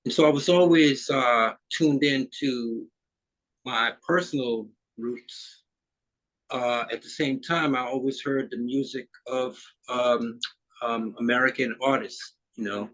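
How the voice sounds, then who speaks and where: A man in his fifties who grew up in Trinidad chats as an interview guest, laid back and meandering, with lots of "you know" and "man". His voice sits at an ordinary pitch. The sound is dull and a bit lo-fi. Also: takes it slowly, fairly bright tone. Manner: easygoing, rambling with many fillers. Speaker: musician guest. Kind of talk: casual interview chat.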